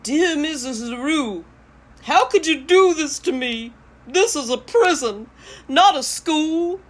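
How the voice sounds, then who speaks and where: Pampered male dog voiced by a woman, indignant, pleading, raised pitch, storybook reading. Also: lively intonation, takes it slowly. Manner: wounded, pleading.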